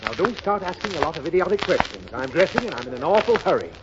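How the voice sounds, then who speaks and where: A man's voice: a little high, big pitch swings, fast talker. A man playing an exasperated, blustering father who scolds impatiently in a 1930s radio comedy. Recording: noisy, dull 1930s radio broadcast recording.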